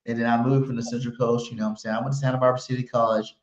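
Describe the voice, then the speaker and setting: A man from Los Angeles co-hosting a sports podcast, chatting casually in a slangy way with loud, hyped bursts. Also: medium pitch, flat delivery, racing. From Los Angeles, California. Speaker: podcast co-host. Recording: roomy, dull sound.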